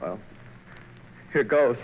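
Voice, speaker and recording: An actor playing a condemned young convict in an old radio drama, bitter and streetwise, with tough talk over fear. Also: male voice, medium pitch, animated, very slow, dull audio. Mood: desperate bravado. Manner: streetwise tough-guy slang. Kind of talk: 1940s radio drama acting. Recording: noisy old radio recording.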